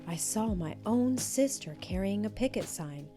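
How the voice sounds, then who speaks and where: A woman's voice: medium pitch, a little slow, music in the background. A woman reading a children's story expressively, as scripted narration, acting out the dialogue. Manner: animated, acts out each character's dialogue. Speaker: storybook reader.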